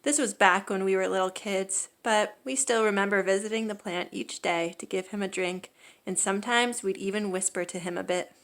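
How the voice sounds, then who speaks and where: A woman reading a children's picture book aloud as a storyteller, with expressive, emphatic delivery. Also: mid-range voice, normal speed.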